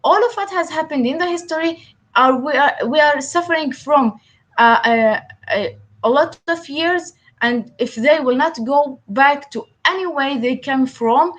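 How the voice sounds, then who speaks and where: Woman, native Arabic speaker, high-pitched, halting speech, many fillers, interview guest. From Palestine. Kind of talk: interview answers.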